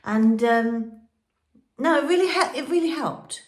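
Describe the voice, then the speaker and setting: A woman's voice: sits high, expressive, unhurried, a little mellow, echoey room. A woman with a cold and a nasal, blocked-up voice, chatting and rambling with lots of ums as she gives a home how-to vlog. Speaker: vlogger. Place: old house, by a fireplace indoors.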